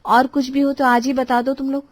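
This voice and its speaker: A woman's voice: sits high, level tone, brisk, no echo, voice pushed up. A woman storyteller voicing a polite, obliging young daughter-in-law in a family story. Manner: deferential, obliging.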